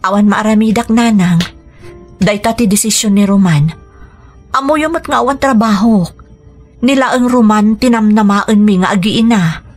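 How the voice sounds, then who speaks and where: A woman's voice: medium pitch, moderate pace, darkish tone, lightly acted. An actress with an Ilocano Filipino accent playing a burdened, resigned mother in a radio drama, plaintive and apologetic. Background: music.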